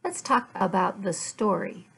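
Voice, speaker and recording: A middle-aged woman, an English teacher, giving a lesson in deliberate, word-by-word phrases with repeated questions and answers. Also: mid-range voice, animated, gravelly, a bit bright, husky. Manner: deliberate, word-by-word enunciation for learners. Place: small furnished room at home.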